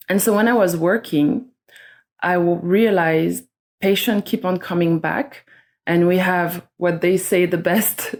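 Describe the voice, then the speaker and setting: Interview guest: middle-aged woman from Switzerland, low and even-toned, slow and searching. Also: gravelly. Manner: slow, soft-spoken, searching for words. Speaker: guest, somatic educator and astrologer. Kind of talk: reflective interview talk.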